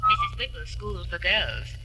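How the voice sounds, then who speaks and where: An actress playing a formal, polite school receptionist heard over a telephone in a 1940s radio drama. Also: female voice, medium pitch, huge pitch swings, moderate pace, sharp tone, full character voice. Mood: formal, helpful. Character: proper female school switchboard receptionist.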